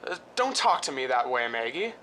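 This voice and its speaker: An actor playing a restless, scheming husband of a film star in a radio drama, hesitant and conspiratorial. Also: male voice, medium pitch, very animated, normal speed, pushed and bright, a little hiss or hum. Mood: put-upon, annoyed.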